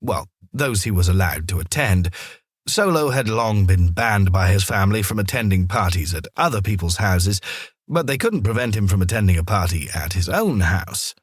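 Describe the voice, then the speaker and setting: A man narrates a scripted story in an expressive, measured way. His voice sits fairly low with a husky grain and moves through lively intonation. The sound is very dry. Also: dry recording. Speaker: storyteller, audiobook reader.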